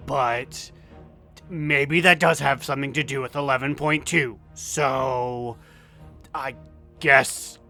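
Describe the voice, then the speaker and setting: An earnest fantasy field reporter, put on by a man, files a mock news report. The delivery is deadpan and unhurried, with a crackly fry and a forward, bright edge over faint music. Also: mid-range voice, full character voice.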